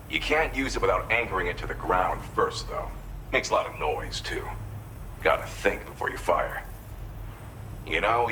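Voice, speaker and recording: An actor playing an affable, grateful researcher from an isolated shelter in a video game, talking informally and explaining things. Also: male voice, low-pitched, sharp tone, slight background noise, low-quality recording. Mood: explanatory.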